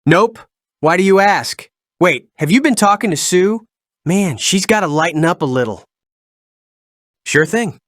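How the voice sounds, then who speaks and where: A man plays a young show-off superhero for a video game. His voice sits a bit high for a man and sounds dry and close. He is breezy and boastful, with easy slang. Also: expressive, measured pace, long pauses, fairly bright tone, dry recording. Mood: defensive. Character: cocky young fire-powered celebrity superhero, playful show-off. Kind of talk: fan-made video game character dialogue.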